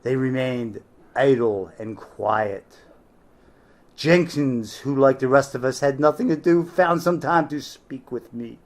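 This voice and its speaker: Slow, measured male narrator. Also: medium pitch. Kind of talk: scripted narration of a novel.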